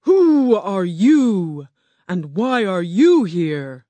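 A narrator voicing a huge, terrifying wizard who speaks slowly and loudly, with a higher, distant-sounding voice. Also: big pitch swings, no echo. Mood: booming. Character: booming giant wizard head, terrifying.

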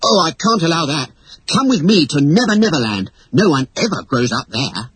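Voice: mid-range voice, very animated, moderate pace, fairly bright tone, breathy edge, no echo, pitched above the performer's own voice, exaggerated voice. A storybook narrator voicing a cocky, mischievous boy adventurer with a brash, bossy, confident manner. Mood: insistent. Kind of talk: acted storybook dialogue.

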